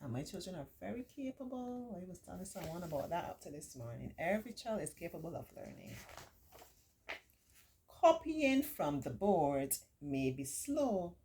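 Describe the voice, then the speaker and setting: A woman reading a picture book aloud to children, with encouraging, simply explained asides and expressive, drawn-out emphasis. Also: on the low side, slight background noise. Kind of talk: read-aloud story time with chatty asides to children.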